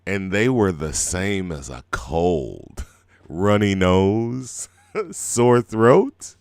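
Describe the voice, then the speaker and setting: A man's voice: low-pitched, big pitch swings, drawn-out words, smooth, dry recording, studio quality. A middle-aged man hosting a music show in a rambling, laid-back chat, his throat scratchy from a cold. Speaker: radio show host. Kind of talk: casual live show chat.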